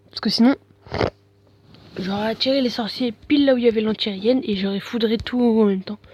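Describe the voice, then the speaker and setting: A man's voice: high-pitched, a little mellow, husky, dry recording, lo-fi sound. Stuffy-nosed man commenting on gameplay. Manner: mumbling, slangy, full of fillers. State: has a bad head cold. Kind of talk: casual game commentary.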